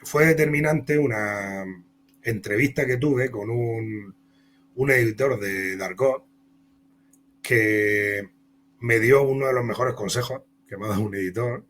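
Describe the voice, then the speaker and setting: A man with an accent from Spain talks as an interview guest at a middling pitch. He tells anecdotes in a rambling, conversational style, acting out bits of dialogue. There is clear background noise with a hum. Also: a little slow, fairly bright tone. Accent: Spanish (Spain). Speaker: comic book author and interview guest.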